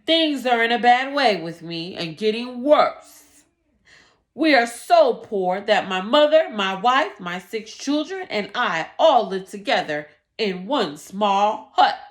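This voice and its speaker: Storybook role: desperate poor village man played by a woman, loud, pleading, pitched up and swooping. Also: sing-song, slow pace. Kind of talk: storybook read-aloud.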